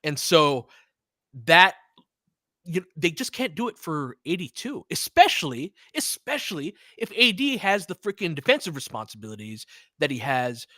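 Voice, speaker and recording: A man hosting a live basketball watch-along, talking as an excitable, swearing fan who also analyzes the play. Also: on the high side, moderate pace, dry recording, clean studio sound. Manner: profane, excitable fan talk with analytical asides. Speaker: sports podcast host and analyst.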